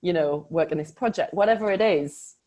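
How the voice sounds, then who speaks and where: A woman with a notably low voice talks about a book in a relaxed conversation. She is a coach, and she speaks reflectively, leaning on key words for emphasis. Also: a little fast, muffled recording. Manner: reflective, emphatic, often laughs.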